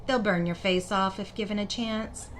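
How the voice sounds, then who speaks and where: A woman voicing a pragmatic, unsympathetic spa proprietor in a fantasy story, brisk and unfeeling. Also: medium pitch, fairly even intonation, slight background noise, lightly acted. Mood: cold.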